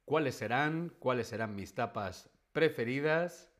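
A man teaching Spanish in a live stream, speaking slowly and clearly and repeating key words for learners. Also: medium pitch, lively intonation, fairly bright tone. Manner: reads viewer chat questions aloud. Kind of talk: live-streamed language lesson.

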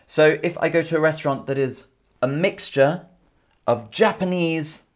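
A man with an English accent teaches English on a live stream, speaking slowly and clearly. His pitch rises and falls with a lot of movement as he repeats each question for learners. He stops often to greet and thank viewers from the chat. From England. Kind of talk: live online English lesson, reading chat comments. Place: indoors, in Scotland.

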